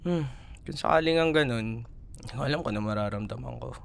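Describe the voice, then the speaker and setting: Casting: male actor as young Filipino college boyfriend for ASMR roleplay, mid-pitched with noticeable fry, tender and teasing. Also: brisk, close to an everyday voice. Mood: wistful, teasing. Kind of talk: ASMR boyfriend roleplay, intimate conversational acting.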